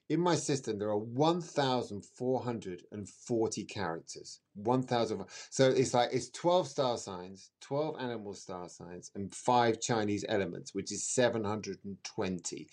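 A middle-aged man with a mid-pitched voice talks as an interview guest. He explains conversationally, leaning on key words, repeating himself and pausing for effect. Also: a little mellow. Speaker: astrologer guest.

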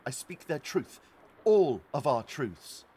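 An actor playing a sanctimonious young activist influencer held captive, preachy and indignant, in an audio drama. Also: male voice, on the high side, very animated, slow, mellow, slightly rough, heavily acted. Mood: self-righteous.

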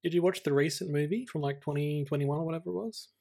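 An Australian man co-hosting a podcast, talking casually about films in a measured, tentative way, thinking aloud. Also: on the high side, vocal fry, dark tone. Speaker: podcast co-host. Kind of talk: casual film discussion.

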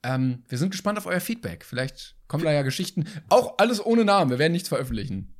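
A man's voice: a little high, big pitch swings, fast. A young man co-hosting a casual podcast, joking and laughing often. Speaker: podcast co-host. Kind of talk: casual chat.

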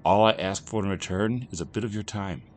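A man's voice: fairly low pitch, fairly quick, pitched above the performer's own voice, lightly acted. A man voicing a sinister, formally polite stranger who offers devilish bargains in a story reading. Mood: coaxing. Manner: formal, smooth-talking, taunting. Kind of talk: audiobook character acting.

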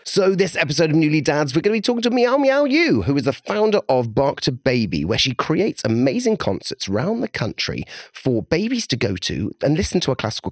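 A man's voice: a little high, no room sound. British man, swooping, theatrical chatter. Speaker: podcast co-host. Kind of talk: casual chat.

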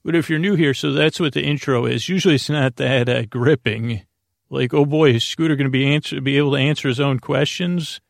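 Male podcast host, mid-pitched, heavy vocal fry, halting improvised monologue, self-interrupting, mumbled, dry recording. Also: no room sound. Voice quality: creaky.

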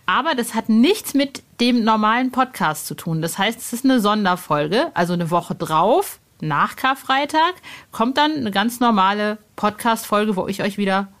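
Woman, podcast host, medium pitch, casual, chatty, colloquial, bubbly. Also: animated, normal speed, fairly bright tone.

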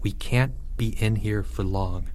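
A stern boy commander, voiced by a man reading a storybook, speaks at a middle pitch. He is determined and urgent, talking to his friends in military style. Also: lively intonation, normal speed, lightly acted. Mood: hushed, urgent.

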